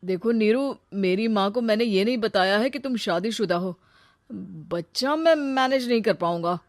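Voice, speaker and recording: A woman voicing a charming, persuasive young man in a cartoon story, talking quickly and softly. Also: medium pitch, dry recording, studio quality, natural voice. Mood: concerned. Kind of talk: Hindi cartoon story voice acting.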